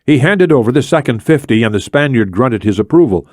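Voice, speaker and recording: A man's voice: medium pitch, expressive, brisk, darkish tone, no echo, clean studio sound. A man reading scripted thriller narration as an audiobook narrator.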